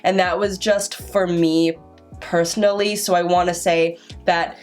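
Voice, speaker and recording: Slow-talking young woman who stutters. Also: on the low side, level tone, faint music behind. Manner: stutters with repeated sounds and word restarts. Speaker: vlogger. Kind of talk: casual vlog talk to camera.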